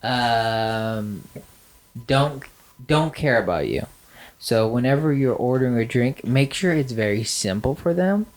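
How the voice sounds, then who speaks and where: A man's voice: medium pitch, animated, measured pace. A man with a nasal voice co-hosting a podcast, chatting casually with lots of fillers and dry sarcasm. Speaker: podcast co-host. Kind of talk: casual chat.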